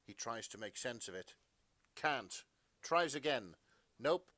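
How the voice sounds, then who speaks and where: A man's voice: medium pitch, lively intonation, takes it slowly, noisy background. A man narrating fan fiction as a podcast co-host, in a melodramatic storytelling style.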